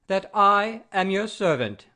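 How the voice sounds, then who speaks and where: A man's voice: sits high, expressive, takes it slowly, sharp tone, heavily acted. A man playing an imperious, pompous father-in-law obsessed with honour and rank in a classic comedy audio play. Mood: dictating. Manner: commanding, pompous, formal.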